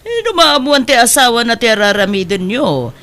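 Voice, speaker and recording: A woman's voice: on the high side, big pitch swings, brisk, a bit bright. A woman with a Filipino accent playing a motherly woman who admonishes a younger one in a comedy drama. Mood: admonishing.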